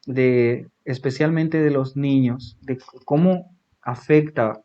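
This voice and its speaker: A man's voice: medium pitch, fairly even intonation, slow. Paraguayan man hosting, dull lo-fi audio. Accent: Paraguayan Spanish. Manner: hesitant, many fillers and tag questions. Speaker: podcast host. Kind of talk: casual interview.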